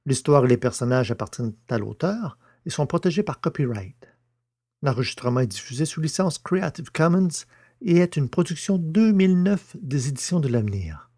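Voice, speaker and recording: A man's voice: a little high, very animated, moderate pace, mellow. A man reading scripted closing credits as the narrator of an audio drama podcast.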